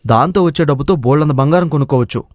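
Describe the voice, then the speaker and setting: A man's voice: a little high, rapid-fire, darkish tone, no echo, natural voice. A man voicing an easygoing young villager in a cartoon moral story, questioning and sceptical in manner. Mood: doubtful. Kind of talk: dramatised moral story dialogue.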